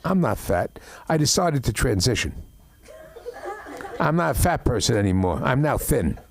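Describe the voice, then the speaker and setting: A middle-aged man doing an open mic stand-up set, rambling through stories with stammered restarts and deadpan complaints. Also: mid-range voice, normal speed, creaky. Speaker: stand-up comedian. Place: comedy club open mic.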